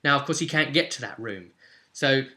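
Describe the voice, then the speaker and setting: A man's voice: medium pitch, normal speed, pushed and bright. A man working as a game master, telling an informal, off-the-cuff recap of a roleplay story with explanatory asides.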